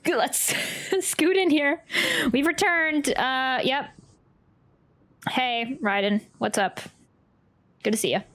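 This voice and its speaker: A woman's voice: high voice, takes it slowly, pauses often, a bit bright. A woman streaming a game with casual, playful reaction commentary, chatting to the characters as she plays. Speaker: gaming streamer.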